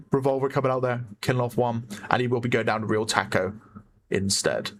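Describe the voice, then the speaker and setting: A man with a hoarse voice giving chatty play-by-play commentary on a competitive online shooter match, often correcting himself. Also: mid-range voice, steady tone, fairly quick, a little hiss or hum. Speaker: esports caster. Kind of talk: live game commentary.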